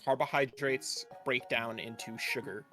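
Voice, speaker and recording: A man's voice: mid-range voice, steady tone, a little slow, a bit bright, soft background music. A man guesting on a game stream, chatting casually, joking and rambling with swearing and filler words.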